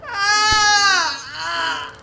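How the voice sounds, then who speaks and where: A strained female voice plays a treacherous doctor held captive in an audio drama. The words come very slowly and haltingly, with a sardonic edge. The room echoes, with music underneath. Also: very high-pitched, gravelly, heavily acted. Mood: struggling.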